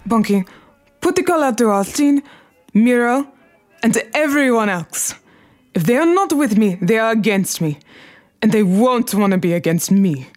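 A woman's voice: fairly high pitch, animated, moderate pace, darkish tone, natural voice. An actress playing a proud, defiant Harlem crime queen in a period radio drama, elegant and commanding, with formal, precise diction.

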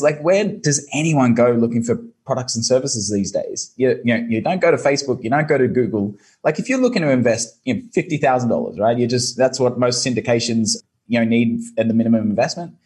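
An Australian man with a husky, slightly rough voice, talking on a podcast over a remote call. He explains things in a relaxed, chatty way, laughs easily and leans on "you know" and "like". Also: medium pitch. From Brisbane, Australia. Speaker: guest, SEO business owner. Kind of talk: casual interview answers.

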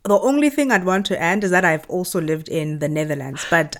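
A South African woman in her thirties, a podcast guest, telling her story in an animated, reflective way. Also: lowish voice, brisk. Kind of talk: conversational interview storytelling.

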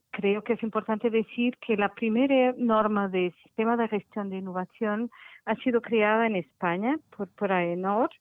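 A woman's voice: mid-range voice, no echo. A Portuguese woman speaking Spanish as a second language, a guest expert who talks carefully and searches for words. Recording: dry, tinny phone-like call.

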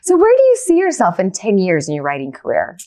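A woman's voice: medium pitch, wildly swooping pitch, quick pace, dark tone. A woman hosting a casual interview, affirming and responsive in a conversational way. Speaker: podcast interviewer and host.

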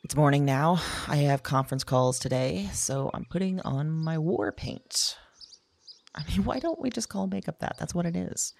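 A woman playing a weary, wry modern woman in an intimate audio drama monologue, speaking in a reflective, halting, confiding way. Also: low voice, creaky, a little mellow. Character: weary, wry, candid modern woman recounting her dreams.

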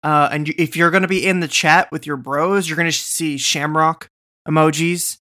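A man's voice: a little high, fairly even intonation, moderate pace, pushed and bright. A man from Boston co-hosting a podcast, rambling and joking in casual chat with lots of fillers and restarts. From Boston, USA. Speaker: podcast co-host.

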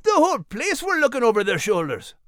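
A man's voice: extremely high pitch, huge pitch swings, fairly quick, fairly bright tone, no echo, clean studio sound, exaggerated voice. A man voicing a cheerful, plain-spoken male dog in an animal story podcast. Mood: defensive, good-natured.

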